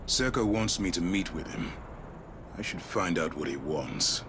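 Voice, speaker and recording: A grim ranger warrior speaks in a very low, dry, understated voice. Loud game ambience hums behind him. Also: male voice, expressive, a little slow, noisy background. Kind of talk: video game cutscene voice acting.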